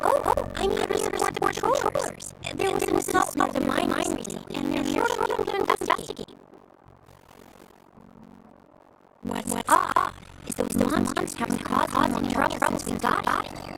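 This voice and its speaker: A woman's voice: very deep voice, wildly swooping pitch, normal speed, vocal fry, exaggerated voice, poor audio. Bubbly, swooping young patroller girl. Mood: chatty, informative. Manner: chirpy, eager, chatty. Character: bubbly, friendly young female patroller greeting old friends. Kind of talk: video game character acting. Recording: loud game music behind the voice. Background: loud music.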